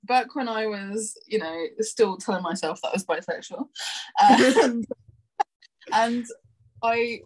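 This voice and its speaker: A woman's voice: a little high, takes it slowly, pauses often, darkish tone, breathy edge. A woman in her thirties from Brazil with a London accent, chatting casually as a podcast guest, laughing often and talking in a rambling, candid way. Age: 30s. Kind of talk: casual chat.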